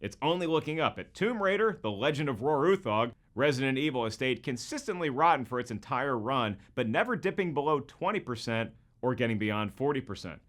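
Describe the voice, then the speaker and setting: A man's voice: medium pitch, lively intonation, fairly quick, few pauses, fairly bright tone. A man hosting scripted comedic commentary, with a wisecracking manner full of rhetorical questions. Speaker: host and comedian.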